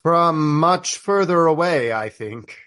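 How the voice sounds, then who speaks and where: A man's voice: on the high side, lively intonation, bright, forceful tone, heavily acted. Man playing a courtly, wry old friar. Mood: curious, probing. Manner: formal, ornate, deliberate phrasing. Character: courtly old Trappist friar, fond, wry, gallant storyteller. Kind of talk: radio play dialogue.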